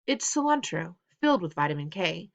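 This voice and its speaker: A woman voicing a gentle, protective mother who speaks softly and soothingly. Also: lowish voice, big pitch swings, brisk, lo-fi sound. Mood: kind. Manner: soft-spoken and soothing.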